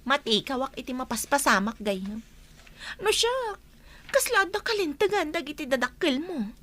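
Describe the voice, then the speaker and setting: A woman's voice: high-pitched, big pitch swings, moderate pace, fairly bright tone. An actress playing a concerned young woman advising her friend in an Ilocano radio drama, earnest and questioning. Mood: concerned, probing.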